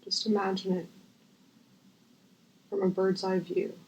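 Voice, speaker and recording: A woman guiding a visualization as host, gentle and unhurried with long pauses, her voice breathy and often near a whisper. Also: medium pitch, flat delivery, lo-fi sound, slight background noise. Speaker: guided meditation host. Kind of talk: guided visualization, meditation.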